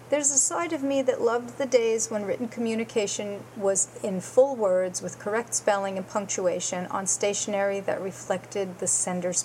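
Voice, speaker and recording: A woman hosting a guitar show reads her prepared segment in an earnest, careful way, taking lists item by item. Her voice sits at a middle pitch, with a faint noise behind it. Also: darkish tone, low-quality recording.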